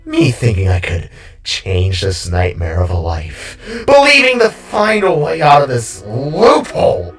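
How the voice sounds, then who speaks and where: A man voicing a defeated villain king in an audio drama, bitter and gleefully unhinged, with a groggy, drawn-out, rambling delivery. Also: mid-range voice, wildly swooping pitch, recorded from a distance. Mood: mocking, groggy. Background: music.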